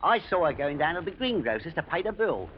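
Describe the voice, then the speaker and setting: An actor playing a fretful, deferential gardener in an old radio drama, with folksy, muttering servant speech. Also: male voice, fairly high pitch, very animated, a little fast. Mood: wary, deferential. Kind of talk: 1940s radio acting. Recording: muffled, hissy old radio broadcast.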